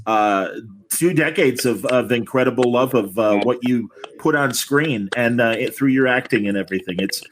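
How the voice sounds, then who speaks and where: A man speaks as a podcast host in an unscripted style. His delivery is halting, padded with fillers as he works toward each point, at an ordinary male pitch. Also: slight background noise, rough recording. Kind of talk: unscripted hosting talk.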